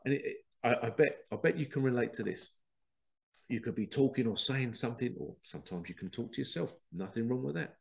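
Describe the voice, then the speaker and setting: A man's voice: medium pitch, a little fast, gravelly. A man with a head cold and a congested voice, hosting a rambling spiritual talk and often acting out quoted exchanges. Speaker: podcast host. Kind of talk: casual spiritual monologue.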